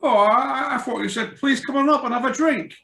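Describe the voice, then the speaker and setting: An actor playing a jolly, muddled drunken neighbour in a radio play, with slurred, rambling speech. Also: male voice, extremely high pitch, a little fast, creaky, sharp tone, exaggerated voice. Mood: drunk, jovial. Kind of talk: radio drama reading. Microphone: video call. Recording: roomy, echoing home video-call sound.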